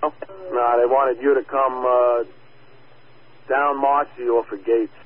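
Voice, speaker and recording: A man dispatches fire crews in clipped, procedural radio talk. His voice sits at a middle pitch. The audio is thin and phone-like, with faint noise behind it. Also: little pitch movement, slow. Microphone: two-way radio system.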